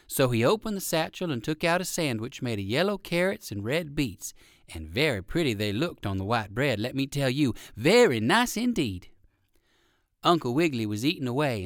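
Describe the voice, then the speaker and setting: Male children's storybook narrator: expressive, swooping intonation, chatty with young listeners, very dry sound. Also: mid-range voice, no echo. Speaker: audiobook narrator.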